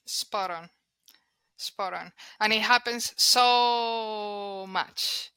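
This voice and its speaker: Colombian-accented woman guest, Spanish-first speaker, bright and clear tone, emphatic, dry close mic. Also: medium pitch, extremely slow, no room sound. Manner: emphatic, repeats words while restarting. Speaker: guest, data and analytics leader. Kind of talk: conversational interview.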